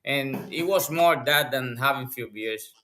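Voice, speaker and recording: A man in his forties from Puerto Rico with a Puerto Rican accent, chatting excitedly as a podcast guest over a video call and often restarting his sentences. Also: medium pitch, a little slow, slight background noise. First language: Spanish. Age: 40s. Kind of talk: casual chat.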